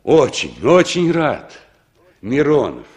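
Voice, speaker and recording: A man's voice: fairly high pitch, wildly swooping pitch, slow, breathy edge, full character voice. An actor in a 1960s Soviet radio play as a sociable, good-natured male traveller, courteous and inquisitive. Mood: jovial, curious.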